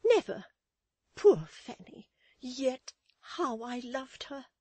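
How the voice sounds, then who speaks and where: A woman's voice: high-pitched, sing-song, slow pace, darkish tone, breathy edge, no room sound, pitched above the performer's own voice, full character voice. A woman voicing a pompous, self-pitying matronly lady in a storybook reading, with emphatic, declamatory delivery and theatrical lamenting. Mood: tearful self-pity. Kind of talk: dramatized Victorian novel reading.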